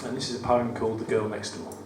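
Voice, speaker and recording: A man with a West Midlands accent and a croaky voice, reading his poems at a live event with wry, self-deprecating banter. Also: lowish voice, level tone, fast talker, recorded from a distance. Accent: West Midlands English. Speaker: performance poet. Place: studio theatre at a live cabaret event. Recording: roomy live venue, mic from afar, audible room noise. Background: audience ambience.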